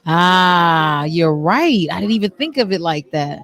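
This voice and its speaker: A woman's voice: lowish voice, lively intonation, measured pace, fairly bright tone. A woman hosting an on-location interview show, talking in an effusive, encouraging way.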